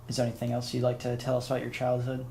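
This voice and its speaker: A man hosting an interview, asking questions in a slow, measured way. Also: mid-range voice, monotone, darkish tone, a little hiss or hum. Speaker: interviewer, host.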